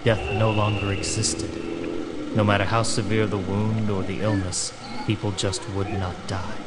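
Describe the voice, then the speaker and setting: A man's voice: sits low, heavy background noise. A man giving a grave, sombre first-person narration in a dramatic horror style.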